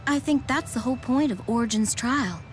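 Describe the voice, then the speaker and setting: A woman's voice: on the high side, a little fast, faint music behind, close to an everyday voice. An actress playing a composed, scholarly woman in a video game, explaining things precisely. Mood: analytical. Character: composed, scholarly, matter-of-fact woman advisor.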